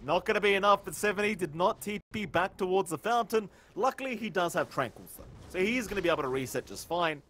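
A man doing excitable play-by-play commentary on a video game match, teasing his co-caster and climbing in pitch at big plays. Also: fast talker, hardly any pauses, slight background noise. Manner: excitable, teasing, rises sharply in big moments. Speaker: esports caster.

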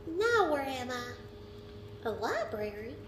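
Woman as curious little cartoon filly, childlike, frank, very high, bouncy pitch, roomy sound. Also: sing-song, slow pace, heavily acted. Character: plucky, curious little filly pony girl. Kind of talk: cartoon audio drama acting. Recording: noisy, echoey playback in a room.

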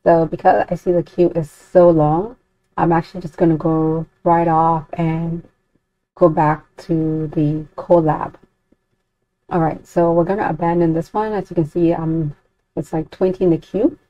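Slow, low-voiced woman, dull recording. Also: little pitch movement, warm tone, dry recording. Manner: informal, hesitant, thinking aloud step by step. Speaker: tutorial presenter. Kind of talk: unscripted software demo walkthrough. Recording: dull, lo-fi, dry close recording.

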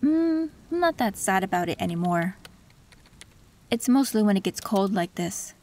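A woman voicing a gentle young tech fixer in an audio roleplay, soft and reflective. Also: medium pitch, very animated, normal speed, slight background noise, lightly acted. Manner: soft, soothing, reflective with small laughs. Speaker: voice actor.

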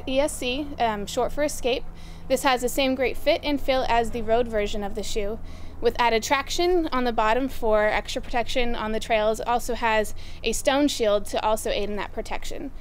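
A young woman talks through new running gear outdoors, her voice sitting a bit high. She sounds upbeat and casual and fills her pauses with "um". A faint hum of outdoor noise stays under her voice. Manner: upbeat, with frequent "um" fillers. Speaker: store product presenter. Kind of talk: casual product rundown. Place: outdoors by farm fields.

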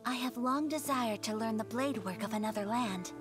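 A high-voiced young noblewoman of the blade acted by a woman, poised and courteous in a video game. She speaks formally and with measured grace, over prominent music. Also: close to an everyday voice. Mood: calm, dignified. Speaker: game character voice actor. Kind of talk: scripted game character trailer. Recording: game trailer played with music.

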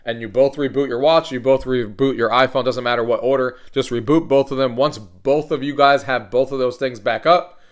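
A man's voice: medium pitch, steady tone, normal speed, few pauses. A man hosting a casual step-by-step tech tutorial, talking in an instructional way and stressing key points. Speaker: host of a tech tutorial channel.

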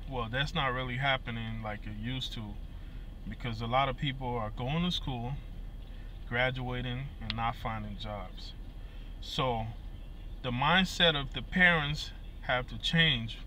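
A man's voice: mid-range voice, unhurried, bright, forceful tone, a little hiss or hum. A man in his thirties with a Liberian accent, talking earnestly to his phone camera from inside a vehicle, with many fillers and repeated words. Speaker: musician and vlogger. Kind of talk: casual talk to camera. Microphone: phone camera at arm's length.

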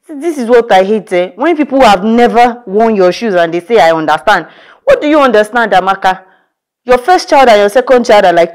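A woman's voice: medium pitch, darkish tone, lightly acted. A woman playing a frazzled Nigerian young mother. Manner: venting, scolding, quick to apologize. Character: overwhelmed young mother, tired and short-tempered. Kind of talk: scripted skit acting.

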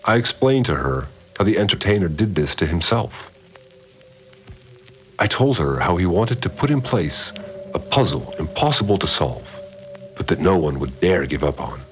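A calm, controlling priest of a strange order narrates his log in a measured, solemn audio drama. His voice sits very deep yet moves expressively through each line. Soft music lies underneath. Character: calm, cryptic, controlling male cult priest.

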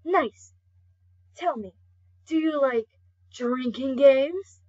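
A woman voicing a friendly, fatherly skeleton gentleman in an amateur comic dub, easygoing and playful. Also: high-pitched, extremely slow, lo-fi sound, pitched above the performer's own voice. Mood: playful challenge.

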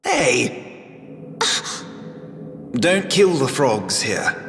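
Sly, slow-spoken enchanted frog. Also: male voice, mid-range voice, sing-song, noisy background, heavily acted. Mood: sly, matter-of-fact. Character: cursed talking frog, once human, sly and suave. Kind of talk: scripted video game voice acting. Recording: roomy hall reverb, music underneath.

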